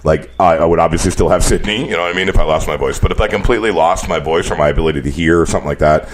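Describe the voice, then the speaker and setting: A man's voice: low voice, rapid-fire, slight background noise. A man hosting a casual radio talk show, swearing freely and laughing often in crude banter.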